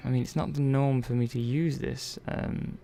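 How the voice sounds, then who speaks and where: Man playing a hesitant technician, very gravelly voice. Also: mid-range voice, fairly even intonation, dark tone, slight background noise, natural voice. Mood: cautious, reassuring. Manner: hesitant, halting, trailing off mid-sentence. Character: earnest, hesitant male robot repair technician, quietly protective. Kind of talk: soft-spoken ASMR roleplay.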